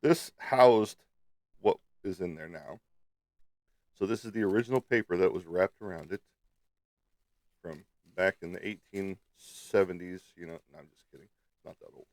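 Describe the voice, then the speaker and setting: A man's voice: sits low, normal speed, pauses often, darkish tone, no echo. A man with a slightly stuffy nose, chatting casually and playfully to viewers as a livestream host. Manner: playful, teasing, peppered with sung sound effects.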